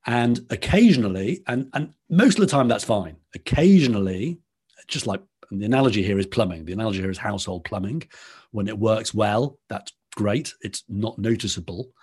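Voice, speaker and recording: A man's voice: lowish voice, expressive, moderate pace, darkish tone, husky. A man with a Liverpool accent, a podcast guest explaining at length in conversation and often restarting his phrases. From Liverpool, England.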